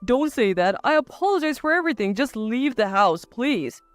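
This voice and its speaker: A woman's voice: on the high side, animated, normal speed, no room sound, soft background music, natural voice. A woman voicing an arrogant, scornful husband in a dramatized story, with blunt delivery. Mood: pleading. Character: arrogant, scornful adult husband, quick to bluster.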